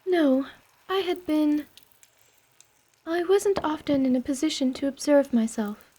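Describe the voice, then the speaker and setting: A woman's voice: high voice, lively intonation, unhurried, dark tone, slight background noise. A woman playing a prim, melancholy young noblewoman adventurer with genteel, formal phrasing in a fantasy radio drama. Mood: taken aback. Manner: formal, genteel, fussy wording. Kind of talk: radio-theatre fantasy role-play acting.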